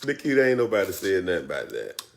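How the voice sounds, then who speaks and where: A man's voice: sits low, wildly swooping pitch, fast talker, gravelly. A man, teasing his wife, then teaching about relationships on a live stream. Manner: teasing, affectionate, then earnest. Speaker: co-host of a church live stream. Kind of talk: casual chat turning to teaching.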